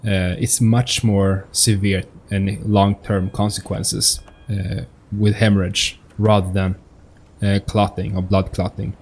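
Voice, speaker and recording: A man's voice: on the low side, level tone, slow pace, a little mellow, breathy edge. A man giving casual let's play commentary, musing aloud hesitantly as he reacts to the game. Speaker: gaming streamer. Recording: faint noise, game audio behind. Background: video game sound.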